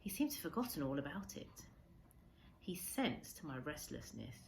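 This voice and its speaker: A woman reading a storybook aloud as a teacher would, expressively, with measured dramatic emphasis. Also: low-pitched, sing-song, a little mellow, slight background noise.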